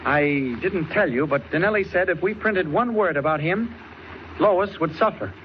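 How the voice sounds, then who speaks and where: A courteous male reporter with a fairly high voice, earnest and slightly hesitant, in a vintage radio serial. The recording is muffled and noisy. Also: lively intonation, moderate pace. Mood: earnest, urgent. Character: polite, earnest, mild-mannered male newspaper reporter. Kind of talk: 1940s radio acting.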